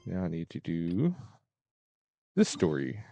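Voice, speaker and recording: Low-voiced game streamer. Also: wildly swooping pitch, slow, gravelly, warm tone. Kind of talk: casual live stream chat.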